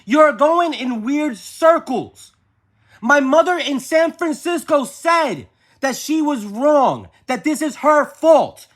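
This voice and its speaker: Phone-argument caller: high pitch, pressing and repetitive, audibly exasperated. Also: lively intonation, slow pace. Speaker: nephew or niece on a phone call with an aunt. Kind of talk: heated family argument on a phone call.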